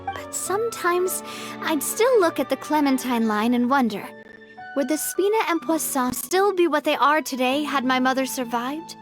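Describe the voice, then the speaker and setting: A woman playing a brave, wistful young leader in a video game, speaking in an expressive, measured way. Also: high voice. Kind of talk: scripted game dialogue. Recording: music behind the voice. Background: game music.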